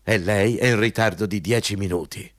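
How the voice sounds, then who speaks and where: An actor in an Italian radio play playing an arrogant, bullying company boss, pompous and insistent, repeating words for emphasis. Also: male voice, on the low side, normal speed, dry recording, studio quality, close to an everyday voice. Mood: haughty, scolding. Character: arrogant, bullying male company boss interviewing a job applicant.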